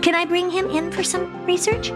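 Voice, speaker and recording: A woman's voice: extremely high pitch, flat delivery, normal speed. A woman playing a haughty, doll-like genius scientist in a video game, condescending and blunt. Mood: dismissive. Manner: condescending, smug, blunt, bored drawl turning to keen curiosity. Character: haughty, doll-like female genius scientist who owns a space station. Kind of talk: video game cutscene acting. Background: music.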